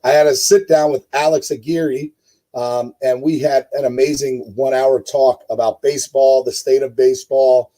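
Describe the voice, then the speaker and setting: A man with a hoarse voice hosting a casual live-stream sports show, rambling with many fillers and talking to his chat viewers. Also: mid-range voice, fairly even intonation, moderate pace, mellow, low-quality recording. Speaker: host.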